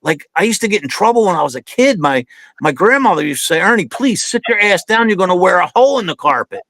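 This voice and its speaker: A man's voice: high voice, very animated, quick pace, fairly bright tone, no room sound. A man in his fifties, a guest on an online show, chatting loosely and telling stories, often calling his host "man". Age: 50s. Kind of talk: casual interview chat.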